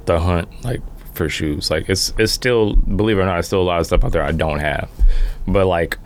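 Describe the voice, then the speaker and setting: A middle-aged man with a deep voice speaks as a guest on a podcast. His talk is casual and halting, full of small filler words. There is faint background noise. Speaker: podcast guest, sneaker collector. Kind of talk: casual chat. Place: podcast studio, in-person conversation.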